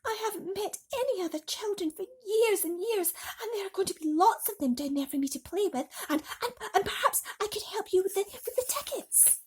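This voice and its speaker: A small, lonely storybook boy, played by a woman, with a high, timid voice. He pleads and stammers as he begs. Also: expressive, a little fast, a little mellow. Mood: pleading. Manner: begging, stammering.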